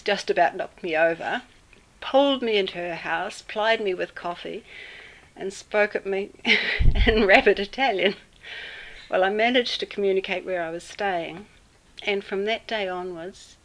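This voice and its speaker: A woman with a New Zealand accent telling a personal story in an interview, unhurried and full of pauses. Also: lowish voice, a bit bright, low-quality recording. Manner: unhurried, with many fillers and pauses. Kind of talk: casual storytelling.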